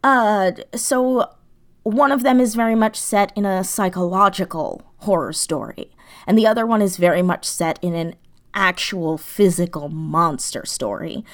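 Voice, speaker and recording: A woman speaking casually as an interview guest, animated and self-deprecating, and quick to laugh. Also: mid-range voice. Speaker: interview guest, audio drama writer and performer.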